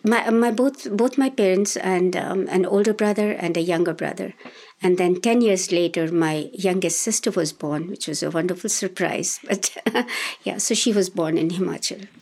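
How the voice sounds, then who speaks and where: A woman in her sixties from India, answering interview questions in a thoughtful, hesitant way with many pauses and restarts. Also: on the low side, a little mellow. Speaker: guest, domestic violence shelter worker.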